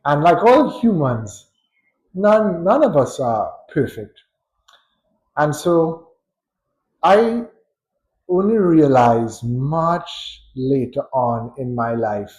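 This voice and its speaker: A man with a Trinidadian accent, a psychologist giving a thoughtful, unhurried educational talk with pauses and light chuckles. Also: medium pitch, darkish tone, rough recording. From Trinidad and Tobago. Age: adult.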